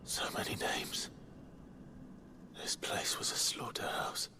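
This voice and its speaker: An actor playing a grim, stoic yet compassionate adventurer in a video game, terse and brooding, often close to a whisper. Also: male voice, slow pace, close to an everyday voice. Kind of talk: dramatic video game acting.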